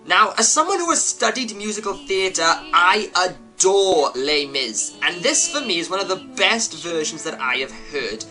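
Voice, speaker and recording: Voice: medium pitch, very animated, a little slow, hardly any pauses, over music. A reviewer giving a scripted, opinionated commentary with emphatic delivery. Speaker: host, reviewer.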